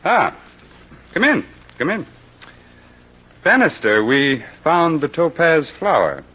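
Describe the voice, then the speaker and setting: A gruff, seasoned police captain who takes charge with brusque, wry authority. His pitch swings widely from mutter to bark. The sound is a dull, lo-fi old radio crime drama. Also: sing-song, slow pace, sharp tone, full character voice. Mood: wry, probing.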